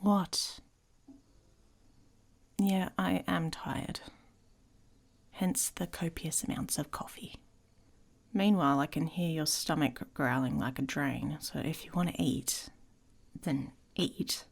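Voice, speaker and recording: A woman playing a haughty, domineering female werewolf in an intimate ASMR roleplay, mocking and commanding, often dropping into a breathy whisper. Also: deep voice, slow, pauses often, heavily acted. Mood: weary, grudging. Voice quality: husky, breathy.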